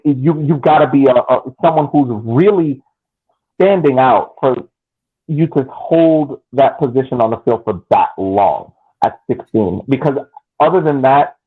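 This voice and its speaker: A man's voice: medium pitch, slightly rough. A man co-hosting a sports podcast, arguing his points emphatically. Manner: emphatic, argumentative. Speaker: podcast co-host. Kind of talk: casual chat. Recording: tinny phone-like line.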